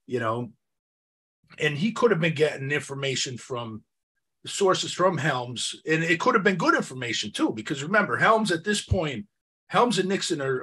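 A man's voice: on the high side, fairly bright tone, slightly rough. A man co-hosting a podcast, talking through history in an insistent, questioning, conversational way. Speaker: podcast co-host.

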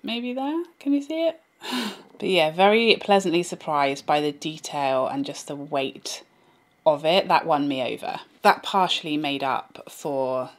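A woman in her thirties, slightly hoarse from a cold, chatting directly to viewers as she reviews a product at home. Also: deep voice, unhurried. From England. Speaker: beauty vlogger. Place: bright indoor room at home.

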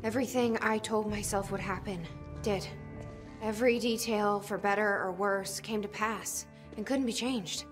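An actress voices a determined, serious woman in a cinematic video game. Her voice sits at an ordinary female pitch and her delivery is earnest and measured. Dramatic music plays clearly behind her. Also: steady tone, natural voice. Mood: solemn, resolute. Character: grave, determined woman convinced fate cannot be changed.

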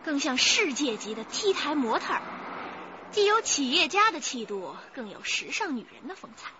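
An opinionated young policewoman in a radio drama. The voice is fairly high and animated, confident in delivery, on a slightly noisy recording. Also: normal speed, dull audio. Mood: admiring. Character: spirited, opinionated young female police detective.

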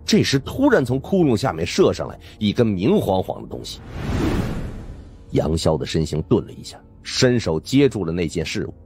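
Very deep-voiced male suspense narrator. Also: big pitch swings, normal speed, darkish tone, faint music behind. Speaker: audiobook narrator. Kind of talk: dramatic scripted suspense narration.

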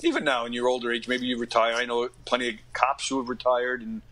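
A man's voice: medium pitch, lively intonation, fast, sharp tone. A middle-aged man talking casually as an interview guest, earnest and emphatic, often repeating his phrases. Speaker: podcast guest, actor and comedy radio host.

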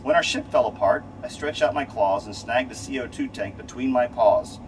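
A man's voice: mid-range voice, moderate pace, natural voice. A man voicing a brave, confident cat astronaut from a children's storybook in a declaiming, heroic manner. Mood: proud. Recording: distant mic, loud steady hum.

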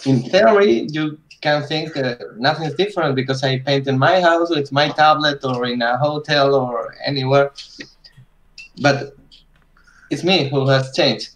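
A man's voice: medium pitch, a little slow, a bit bright, slight background noise, low-quality recording. Earnest nonnative-sounding man painter. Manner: earnest, reflective, nonnative phrasing. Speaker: panelist, digital painter. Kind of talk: video call panel discussion. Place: home room with bookshelves.

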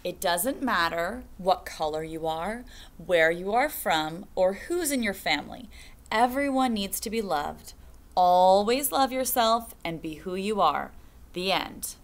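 A woman in her thirties, a teacher, reading a picture book aloud to young children in a classroom with a slow, sing-song storytime delivery. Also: mid-range voice, lively intonation. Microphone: webcam at desk.

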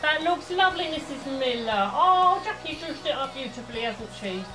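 A woman's voice: high voice, animated, moderate pace, music in the background, rough recording. A woman playing a chatty, gossipy, bustling hair salon owner in an audio play, gushing and exclaiming. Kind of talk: amateur audio play acting.

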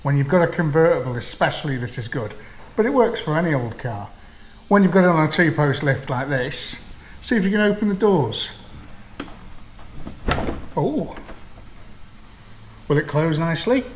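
A man's voice: a little high, expressive, normal speed, pauses often, reverberant. Chatty guy in a noisy garage. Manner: chatty, jokey, thinking aloud. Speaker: car restoration vlogger. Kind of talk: casual workshop chat. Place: indoor garage workshop.

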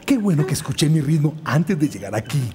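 An actor playing a young, easygoing cartoon football player with a rhythmic, sing-song delivery. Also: male voice, on the high side, sing-song, a little fast, darkish tone, slight background noise. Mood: focused, rhythmic.

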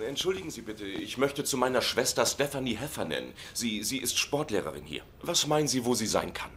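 Clear background noise surrounds a boyish married man in a dubbed sitcom. He protests and stammers defensively, and his pitch moves around in a lively way. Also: a little fast. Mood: sheepish.

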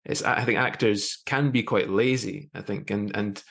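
A man in his thirties hosting a conversational interview over a video call, rephrasing haltingly as he thinks. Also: fairly low pitch, steady tone, creaky, a bit bright. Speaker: podcast host. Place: small plain room indoors. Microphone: video call with earphones.

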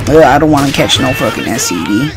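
A man's voice: mid-range voice, expressive, fast talker, heavy background noise. A young man telling a casual, profane story in a rambling, slangy way while playing a game. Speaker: gaming video host. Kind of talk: casual profane story time over gameplay. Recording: loud music and gunfire behind. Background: music and shooter game gunfire.

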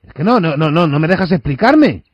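A man's voice: a little high, very animated, fairly quick, husky, no echo, highs cut off. A man with an accent from Spain making a prank call, insistent and repetitive, interrupting and talking over the listener as he tries to explain. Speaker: prank caller posing as a kitchen fitter. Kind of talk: phone prank, improvised conversation.

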